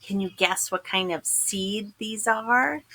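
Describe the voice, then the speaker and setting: A woman reading a children's picture book aloud, expressive and dramatic, putting questions to her listeners. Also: mid-range voice, slow pace, slight background noise. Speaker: storybook reader.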